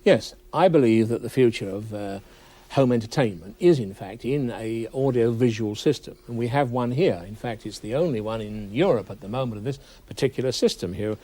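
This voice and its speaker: Mellow-voiced man explaining technology. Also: medium pitch, a little fast. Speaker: audio expert being interviewed.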